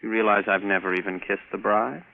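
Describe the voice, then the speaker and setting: A man's voice: fairly low pitch, steady tone, brisk, creaky, phone-quality sound, slight background noise. An actor playing a plucky, wry male space captain who narrates his adventure in 1950s radio drama style. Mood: brisk, steady. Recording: band-limited vintage radio recording with music.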